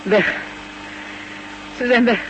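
A woman's voice: fairly high pitch, fairly even intonation, slow. A woman playing a composed, serious defector in a science fiction radio play, direct and formal in manner. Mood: uneasy. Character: composed, serious female alien defector with commanding powers. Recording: noisy old radio recording with hum.

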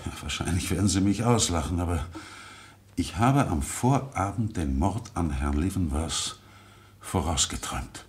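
A man playing an agitated, haunted secretary in an old German radio crime play, speaking in a halting, evasive way. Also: low voice, animated. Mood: uneasy, confessing. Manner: halting, evasive, breaking off and repeating himself. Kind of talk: German radio crime play acting from the mid-20th century.

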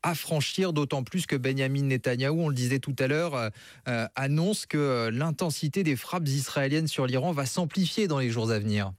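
A man's voice: mid-range voice, normal speed, no room sound. Dry-sounding male news presenter. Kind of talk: live news interview.

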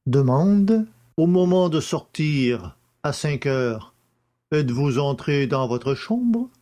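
A man plays a courteous examining magistrate in an audiobook mystery. The questions come slowly and deliberately, with strong swings of pitch. The manner is formal and methodical. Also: lively intonation, dark tone. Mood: methodical, probing. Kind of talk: audiobook character acting.

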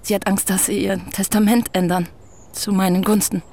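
A woman's voice: mid-range voice, level tone, a little mellow, a little hiss or hum, close to an everyday voice. A woman playing a politely probing young writer. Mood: measured. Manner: polite, measured questioning. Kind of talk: radio drama acting.

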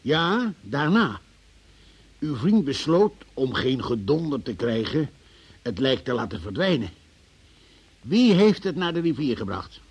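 An actor in a radio drama playing a stern, sardonic, relentless police interrogator who questions formally and presses hard. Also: male voice, mid-range voice, big pitch swings, a little slow, vocal fry, pauses often, husky, heavily acted. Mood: incredulous, probing.

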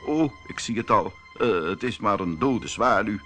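A man's voice: fairly low pitch, expressive, normal speed, a bit bright, soft background music. A man voicing an old, rich, dismissive mole in a fairy tale, hemming and offhand.

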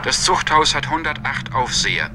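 Guy reading a documentary script, medium pitch, noisy street-like background. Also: big pitch swings, moderate pace.

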